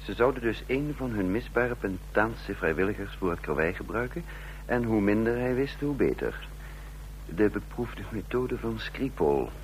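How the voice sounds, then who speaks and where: An actor playing a scheming, self-assured undercover officer who narrates a radio drama in a clipped, deliberate, commanding way. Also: male voice, fairly low pitch, animated, natural voice. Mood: wry, confiding. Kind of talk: Dutch radio drama acting with first-person narration. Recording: noisy radio drama recording with hum.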